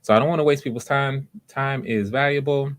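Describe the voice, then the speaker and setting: A man's voice: mid-range voice, steady tone, a little fast. A man hosting live voice auditions, talking casually and encouragingly to the people taking part. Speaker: host running voice auditions. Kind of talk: casual livestream talk.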